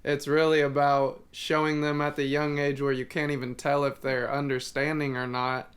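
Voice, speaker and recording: A man's voice: on the high side, normal speed, clear, resonant tone. A young man and father in a casual podcast chat, speaking earnestly and matter-of-factly. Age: young adult. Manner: earnest, steady, matter-of-fact.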